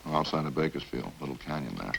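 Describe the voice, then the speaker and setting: An actor in a 1950s radio crime drama playing a chatty killer who confesses in a casual, folksy, matter-of-fact way. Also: male voice, very deep voice, animated, fast, gravelly, full character voice. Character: chatty, hungry male killer calmly confessing over supper. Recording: hissy old-time radio recording.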